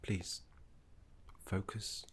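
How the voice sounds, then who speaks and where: A man playing a gentle, wistful elderly necromancy professor in a breathy, husky half-whisper, halting and genteel, as an ASMR roleplay. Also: heavy background noise. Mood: gentle, soothing. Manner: halting, genteel, formal wording.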